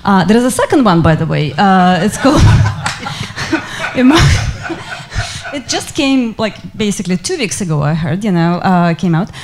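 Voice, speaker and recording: A woman's voice: medium pitch, animated, normal speed, faint music behind. A woman with a Russian accent opening a live panel talk, speaking with many hesitant fillers. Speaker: panel moderator. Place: lecture hall before an audience.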